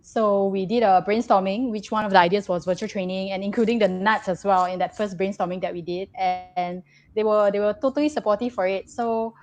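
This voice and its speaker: A woman speaks as a business owner in a live online talk. Her voice sits at a middle pitch, measured and dotted with hesitant fillers. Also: steady tone. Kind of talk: live webinar conversation.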